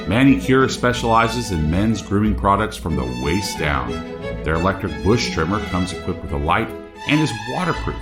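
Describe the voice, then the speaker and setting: A man playing a true-crime podcast host, delivering scripted narration in a measured, deliberate way. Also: sits low. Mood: smooth sales pitch. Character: male true-crime podcast host, solemn small-town investigator.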